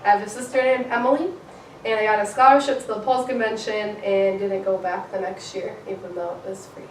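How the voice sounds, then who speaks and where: A woman's voice: medium pitch, steady tone, brisk, a little hiss or hum. Giggly young woman, echoey far mic. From Illinois, USA. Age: young adult. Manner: giggly, filler-heavy "like" talk. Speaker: club podcast co-host. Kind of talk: casual chat. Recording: echoey room from a distant mic.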